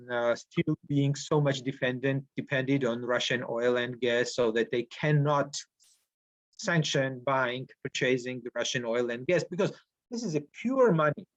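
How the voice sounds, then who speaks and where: A man's voice: medium pitch, no room sound. Hesitant man. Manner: halting, searching for words, many fillers. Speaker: panelist. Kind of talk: panel discussion answer. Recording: dry, dull lo-fi sound.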